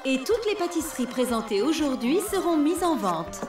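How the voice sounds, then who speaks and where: A woman's voice: high voice, sing-song, moderate pace, echoey room, distant mic, over loud music. A woman voicing a formal female elephant school head in a French cartoon dub, announcing ceremoniously.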